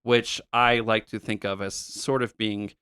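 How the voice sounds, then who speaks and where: Low-voiced man chatting about retro games. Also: unhurried, clear, resonant tone, no room sound. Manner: relaxed, explanatory, frequent fillers. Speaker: podcast co-host.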